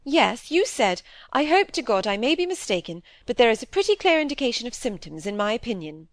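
A woman's voice: a little high, sing-song, brisk, no room sound, voice pushed up. A woman reading an audiobook, voicing a middle-aged wife who is fretful, wheedling and full of plaintive excuses. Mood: eager, justifying. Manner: plaintive, pouting, evasive.

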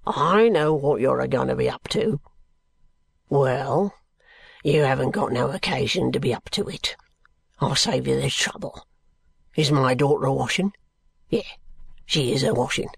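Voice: medium pitch, unhurried, gravelly, long pauses, breathy edge, no echo, voice pushed down. A narrator voicing a surly, drunken working-class brickmaker, blunt and morose, in dialect speech, as in an audiobook. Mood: defiant, morose. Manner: dialect speech, blunt, self-answering rhetorical questions.

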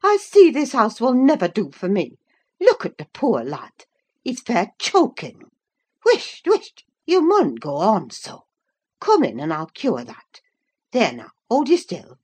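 A woman's voice: a little high, huge pitch swings, slow, a little mellow, slightly rough, pitched above the performer's own voice, full character voice. A woman voicing a stout, bustling housekeeper in a classic novel, scolding yet kindly, in rustic dialect. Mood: scolding, motherly.

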